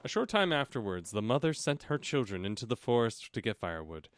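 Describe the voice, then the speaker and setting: A man's voice: mid-range voice, big pitch swings, fairly quick, no room sound, studio quality. A man reading a storybook aloud as a comic co-host, breaking off for casual, irreverent remarks. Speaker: comic audiobook reader and co-host.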